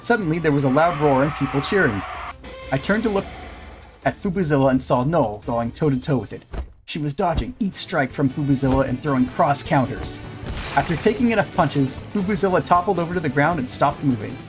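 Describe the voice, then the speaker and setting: A man reads a visual novel aloud for a livestream. His pitch moves around a lot as he narrates, and he slips into character voices for dialogue. Game music plays softly behind him. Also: animated, a little fast. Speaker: streamer. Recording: music under the voice.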